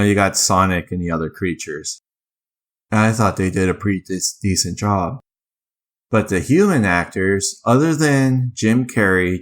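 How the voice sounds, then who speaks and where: Low-voiced man giving rambling casual reviews. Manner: rambling, self-correcting, halting. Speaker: host of a movie ranking video. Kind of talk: casual off-the-cuff review commentary.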